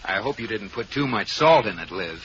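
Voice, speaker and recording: An actor playing a wry, teasing husband and banker in a 1940s radio sitcom. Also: male voice, on the low side, expressive, a little fast, a bit bright. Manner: dry, wisecracking. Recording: muffled old radio broadcast.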